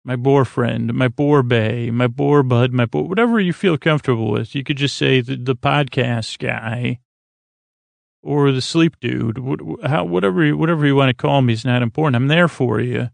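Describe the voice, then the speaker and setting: A man hosting a bedtime podcast in a meandering, halting monologue, stammering and often trailing off mid-thought. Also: mid-range voice, creaky, no echo. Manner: acts out imagined back-and-forth dialogue. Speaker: podcast host.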